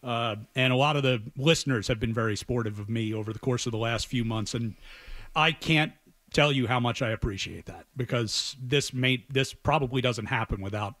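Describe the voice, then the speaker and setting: A man's voice: medium pitch, brisk, fairly bright tone, no room sound. A man speaking casually and earnestly as a radio guest, telling personal stories in an appreciative way. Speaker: radio guest and sports play-by-play announcer. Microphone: close studio radio mic.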